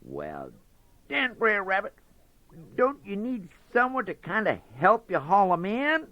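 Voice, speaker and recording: A man's voice: high voice, huge pitch swings, a little slow, dry recording, pitched above the performer's own voice, full character voice. A man voicing a greedy, gullible male fox who stammers eagerly in storybook dialect. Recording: dull dry lo-fi recording.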